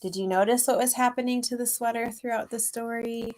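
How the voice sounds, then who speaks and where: High-voiced woman reading a storybook. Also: steady tone, fairly quick, a little mellow, slight background noise, rough recording. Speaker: children's author reading own book aloud. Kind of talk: storybook read-aloud with chatty asides to children.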